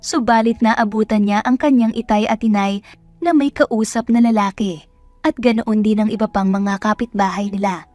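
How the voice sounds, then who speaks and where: A woman's voice: medium pitch, moderate pace, dark tone, no room sound. A woman with a Filipino accent reading scripted story narration as a storyteller.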